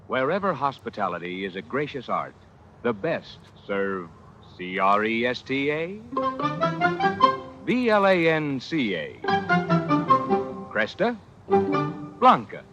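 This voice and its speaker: A man's voice: medium pitch, very animated, slow, long pauses. A man reading a 1940s radio advertisement as an announcer, with a declamatory, polished delivery. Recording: muffled old radio recording, loud music.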